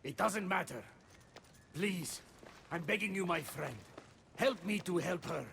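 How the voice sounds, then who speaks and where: High-voiced, creaky, devoted Greek god. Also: male voice, a little slow, bright, forceful tone, slight background noise, heavily acted. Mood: pleading. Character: Hermes, anxious devoted male god serving a queen. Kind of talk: video game cutscene acting. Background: music.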